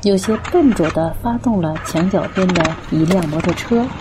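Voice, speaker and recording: A woman's voice: on the low side, normal speed, background noise. A woman reading scripted narration for a Chinese radio drama.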